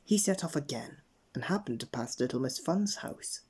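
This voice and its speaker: A man narrating a children's bedtime story in an expressive read-aloud manner. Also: mid-range voice, moderate pace, breathy edge. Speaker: storybook reader.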